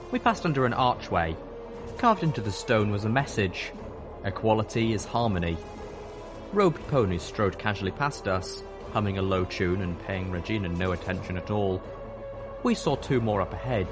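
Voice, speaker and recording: A man reading a fan fiction audiobook aloud, narrating in a steady first-person manner. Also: lowish voice. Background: music.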